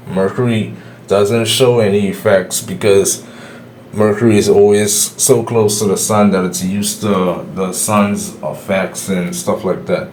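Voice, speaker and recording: Low-voiced Bahamian man explaining astrology. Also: darkish tone, slight background noise. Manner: opinionated, explanatory, a few restarts. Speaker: astrology YouTuber. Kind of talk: solo opinion monologue to camera.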